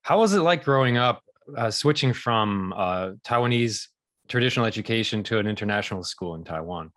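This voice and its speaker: A man hosting a podcast, asking measured questions calmly and giving short acknowledgements. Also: on the low side. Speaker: podcast host. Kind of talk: interview questions.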